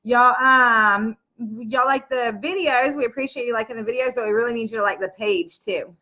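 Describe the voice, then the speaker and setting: Woman, mid-thirties, a little high-pitched, relaxed, says y'all, muffled stream audio. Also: moderate pace. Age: 30s. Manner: easygoing, short replies, says "y'all". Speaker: livestream co-host. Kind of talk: casual chat reading live comments. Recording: lo-fi livestream audio.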